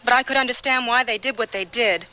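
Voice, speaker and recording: An actress in a radio drama playing a reasonable, protective woman who speaks in a measured, polite way. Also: on the high side, pushed and bright. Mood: calm, reasoning. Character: calm, reasonable, protective female companion.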